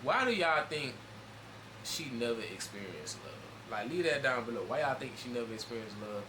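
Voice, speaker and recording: A man commenting on a video in a loose, emphatic way, often turning to address his audience directly. His voice sits at an ordinary middle pitch. Steady background noise runs under him the whole time. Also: moderate pace. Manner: loose, emphatic, talks straight to viewers. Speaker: reaction video host. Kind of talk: casual reaction commentary.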